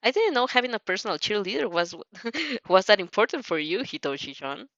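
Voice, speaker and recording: A woman's voice: medium pitch, sing-song, brisk, fairly bright tone, dry recording, dull audio. A woman voicing a flustered, stubborn teenage schoolgirl, pouty and indignant, in an anime fan story. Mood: grudging.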